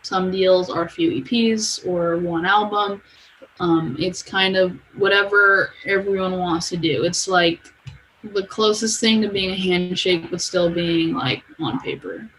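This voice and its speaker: A woman's voice: lowish voice, steady tone, a little slow, a bit bright, poor audio. A woman explaining things informally, as a guest in a casual interview. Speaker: podcast guest, music company manager. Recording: clear background noise.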